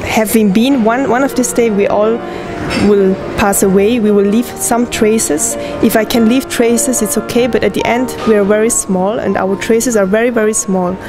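Young woman pianist, from Switzerland, whose first language is Hokkien. Reflective and searching for words, in an interview over clear piano music. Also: fairly high pitch, steady tone, moderate pace. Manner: thoughtful, searching for words, with restarts. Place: indoor room with piano and plants. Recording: piano music playing underneath.

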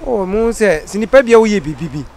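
Fast-talking middle-aged man. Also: high voice, lively intonation, darkish tone. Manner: speaks Twi with English words, earnest. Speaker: interviewee. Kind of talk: street interview answers. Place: outdoors by an office doorway. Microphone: handheld mic held by interviewer.

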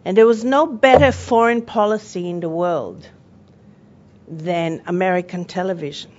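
A woman's voice: fairly low pitch, normal speed, a little mellow. A woman with a foreign accent, born in Israel and raised in Europe and Australia, giving an earnest, personal talk as a panelist and television network owner. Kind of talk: panel presentation. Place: conference room at a workshop. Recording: dull lo-fi conference audio with faint hum.

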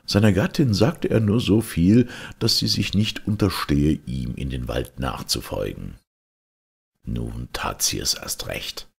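A man reading a fairy tale aloud as a storyteller, in a theatrical, deliberate and dramatic manner. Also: very deep voice, vocal fry, husky. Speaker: storyteller, reader.

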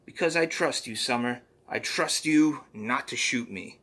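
A man's voice: mid-range voice, measured pace, sharp tone. A man voicing a cheery, folksy little male poop creature from a comic, who keeps saying "ooh-wee.". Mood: earnest.

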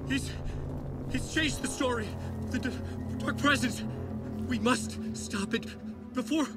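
A frantic, panicked haunted writer. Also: male voice, very high voice, slow pace, loud music behind the voice. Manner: panicked, stammering, shouting. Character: frantic haunted male writer, middle-aged. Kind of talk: video game voice acting.

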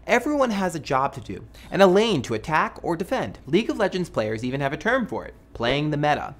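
A man's voice: fairly high pitch, very animated, brisk. An American man in his thirties delivers a scripted video essay to camera as a host, with an emphatic, wry, conversational delivery. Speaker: web show host. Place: small studio set indoors. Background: music bed.

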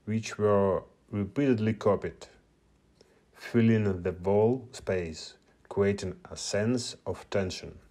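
A man's voice: deep voice, a little mellow. A man, a non-native English speaker whose first language is Russian, giving a hesitant screen-share tutorial at a meetup with many filler sounds. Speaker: media artist presenting at a meetup.

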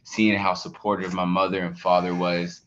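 A man's voice: low voice, level tone, moderate pace, fairly bright tone, a little hiss or hum. A man in his thirties on a video call, answering interview questions earnestly, repeating words and using many fillers. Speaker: documentary subject, athlete. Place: indoor room with plain wall, video call. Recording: dull lo-fi video call audio.

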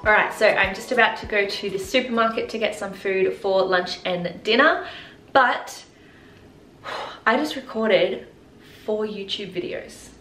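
A woman's voice: medium pitch, measured pace, sharp tone, faint music behind. Young woman, echoey room. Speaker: health and nutrition vlogger. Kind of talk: casual chat to camera. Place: indoors in a home kitchen and living room. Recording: roomy echo with clear background noise.

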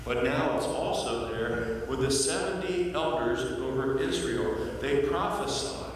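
An older man preaching a sermon in a large church sanctuary, telling the story with playful asides and lively voiced quotes. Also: medium pitch, a little slow, slight background noise. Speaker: pastor. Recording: echoey hall from far off.

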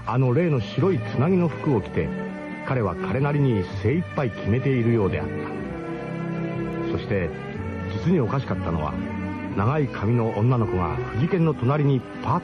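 A man's voice: medium pitch, big pitch swings, moderate pace, dull audio, over loud music, lightly acted. An actor narrating a radio drama as a wry, nostalgic adventurer looking back on his gang. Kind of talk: scripted first-person radio drama narration.

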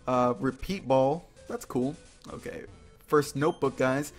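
A man's voice: medium pitch, measured pace, faint music behind. A man hosting a video game playthrough with casual, rambling, playful commentary, slangy and often repeating his phrases.

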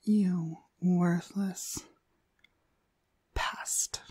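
A woman's voice: fairly low pitch, fairly even intonation, a little mellow, husky, no room sound, clean studio sound, exaggerated voice. A woman playing a cruel, domineering demonic dog trainer in an ASMR roleplay, contemptuous and commanding in her delivery. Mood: hushed contempt. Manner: contemptuous, commanding, slow and deliberate. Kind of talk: ASMR roleplay, frequent breathy whispering.

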